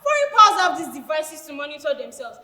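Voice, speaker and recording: Actress doing a heated nigerian schoolgirl, very lively voice, big echoey hall. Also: high voice, heavily acted. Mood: arguing. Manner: emotional, heated, quick to argue. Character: fiery young female footballer. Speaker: football player, student.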